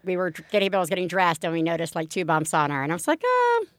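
A woman's voice: lowish voice, quick pace, dry recording, studio quality. A woman in her thirties from New York, co-hosting a casual podcast, telling stories animatedly with acted-out voices and casual swearing. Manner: animated storyteller, acts out voices, swears freely. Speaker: podcast co-host. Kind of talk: casual chat.

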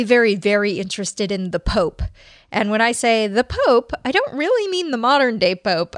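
Female podcast co-host and reader. Medium-pitched voice, very little room sound. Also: fairly bright tone, dry recording, clean studio sound. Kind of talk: podcast talk and reading aloud.

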